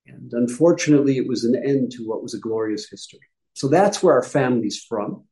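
A middle-aged man with a mellow, warm tone tells his story in a loose, explanatory way. He often stops to correct a word or add an aside as he goes. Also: mid-range voice, lively intonation, a little fast, poor audio. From upstate New York. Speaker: guest rabbi. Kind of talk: conversational interview answers.

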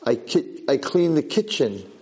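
A man's voice: medium pitch, big pitch swings, unhurried, mellow, voice pushed up. A man voicing a distraught, disbelieving young male slave in a told story, protesting and pleading as he repeats himself. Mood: stubborn refusal. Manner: protesting, pleading, repeats words when agitated.

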